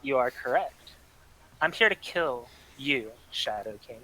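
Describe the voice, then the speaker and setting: A man's voice: mid-range voice, lively intonation, measured pace, pushed and bright, poor audio. A man playing an ageless, defiant vampire assassin in a table read, theatrical and formal. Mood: menacing, defiant. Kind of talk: scripted drama table read.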